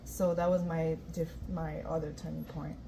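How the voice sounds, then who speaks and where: A woman from France, a guest dancer telling her story in a relaxed way, with many "you know" and "um". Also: low voice, normal speed, vocal fry. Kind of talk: casual interview talk. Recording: faint event noise.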